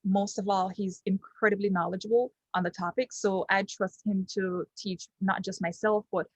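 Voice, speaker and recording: A young Jamaican woman, Patois her first language, talks through a testimonial in her Jamaican accent at a middling pitch. She halts often, filling gaps with ums and restarting phrases. The recording is dry, with no room sound. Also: flat delivery, moderate pace, no echo, low-quality recording. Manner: hesitant, many ums and restarts. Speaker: client giving a testimonial. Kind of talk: unscripted testimonial.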